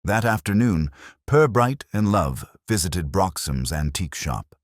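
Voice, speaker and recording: A man reading a scripted detective story as narrator, in a measured literary manner. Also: low-pitched, lively intonation, no echo, clean studio sound.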